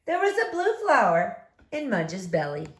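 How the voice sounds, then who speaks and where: A woman's voice: medium pitch, wildly swooping pitch, measured pace. A woman reading a picture book aloud expressively to young listeners. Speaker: story reader for children. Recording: echoey room.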